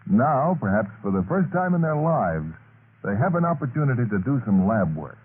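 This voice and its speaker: Male announcer, earnest and measured, lively intonation, vintage radio with narrow tinny sound. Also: mid-range voice. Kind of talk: formal scripted 1950s radio announcing. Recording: tinny, hissy 1950s radio recording.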